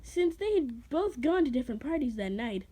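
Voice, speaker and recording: A woman's voice: high voice, big pitch swings, moderate pace, warm tone. A woman reading her own story dramatically, stumbling over words and adding casual, self-deprecating asides. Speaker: amateur reader of own creative writing.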